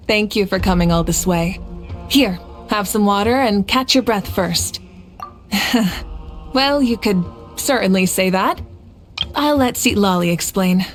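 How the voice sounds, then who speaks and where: A woman playing a calm, confident leader in a video game, speaking in a measured, reassuring way. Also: mid-range voice, lightly acted. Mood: amused, reassuring. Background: faint game music.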